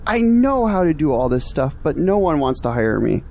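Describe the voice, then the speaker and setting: A man's voice: fairly high pitch, very animated, moderate pace, creaky, darkish tone. A man playing an earnest, discouraged young graduate in an audio drama, complaining about his troubles. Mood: frustrated. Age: young adult.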